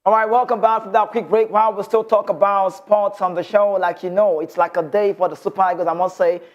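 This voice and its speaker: A man's voice: sits high, flat delivery, brisk, dull audio. A man with a Nigerian accent hosting a sports show, reading football news and giving passionate commentary with bits of Pidgin.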